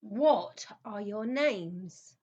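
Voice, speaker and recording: A woman's voice: mid-range voice, animated, extremely slow, warm tone. Woman playing a bossy little boy king, muffled recording. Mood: curious, blunt. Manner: commanding, blunt. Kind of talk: children's audio play acting.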